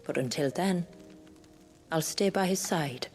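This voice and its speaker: An actress playing a calm, proud, protective warrior guardian in a fantasy game, speaking formally and deliberately. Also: female voice, on the low side, faint music behind, lightly acted. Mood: tender resolve. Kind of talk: cinematic fantasy game acting.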